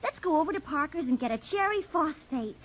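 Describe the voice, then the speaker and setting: A woman's voice: sits high, animated, fairly quick, a bit bright. An actress playing a chatty, artless young woman in a 1940s radio drama. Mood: chatty, carefree. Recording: dull vintage 1940s radio recording.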